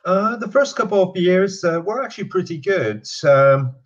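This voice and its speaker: A man's voice: high-pitched, lively intonation, moderate pace. A young man in his twenties from Canada with a mixed Canadian and British accent, talking hesitantly with many fillers in an interview. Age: 20s. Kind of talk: casual interview. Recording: dull lo-fi sound.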